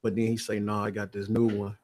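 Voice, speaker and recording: A man's voice: on the low side, completely flat delivery, fairly quick, darkish tone. An American man, a stage actor, chatting casually as an interview guest in a plain-spoken, colloquial way with emphatic repetition. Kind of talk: casual chat.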